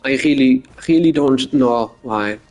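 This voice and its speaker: Young male podcast host, faint Boston accent, mid-pitched, rambling crude deadpan humor, soft music underneath. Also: measured pace, poor audio. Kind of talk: casual solo podcast monologue.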